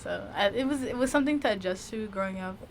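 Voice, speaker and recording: A young woman from Maine talks on a casual podcast at a middle pitch. She rambles and searches for words, leaning on "like" and "um". A faint noise runs behind her voice. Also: fast talker. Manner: rambling, lots of "like". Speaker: guest.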